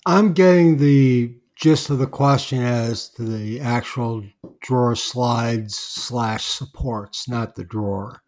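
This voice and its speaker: A man co-hosting a podcast speaks slowly and deliberately, weighing each phrase. His voice sounds slightly distant, as if he sits back from the mic. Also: medium pitch, darkish tone. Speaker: podcast co-host.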